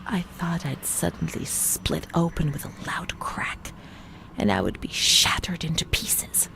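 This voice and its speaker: A woman playing a fragile, haunted young former asylum patient in a narrative game, delivering a slow, halting, confessional monologue in a breathy voice that often sinks to a whisper. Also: low-pitched, lively intonation, heavily acted, rough recording. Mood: traumatized, anguished. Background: music.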